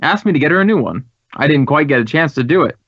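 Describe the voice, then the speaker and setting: An American actor playing an earnest, courteous and talkative director of a drama school in an old radio drama. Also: male voice, a little high, expressive, fast, a bit bright, dry recording. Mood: puzzled, chatty. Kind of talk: 1950s radio acting. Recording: dull, dry old radio recording.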